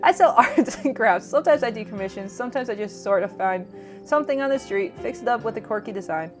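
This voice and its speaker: A woman's voice: a little high, animated, fast, over music, natural voice. A woman reading the part of a wry, downbeat young city dweller in a visual novel. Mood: casual.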